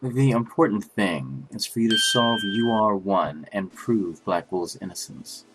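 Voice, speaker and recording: A low, measured voice for a stern chief prosecutor played by a man. He is formal and dignified, choosing his words deliberately, as in a video game drama. Music plays underneath. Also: expressive, low-quality recording. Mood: composed. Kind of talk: scripted anime-game fan dub acting. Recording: music behind the voice.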